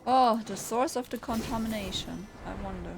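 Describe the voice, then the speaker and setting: Woman gamer, fairly high voice, casual reactive commentary, faint background noise. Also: normal speed, vocal fry. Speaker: gaming streamer.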